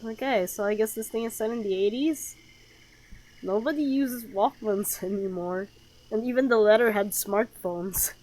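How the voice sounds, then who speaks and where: A woman's voice: mid-range voice, normal speed, a little mellow, poor audio. Sarcastic woman game streamer, casual. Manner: sarcastic, reactive, talks back to the game. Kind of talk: casual let's play commentary.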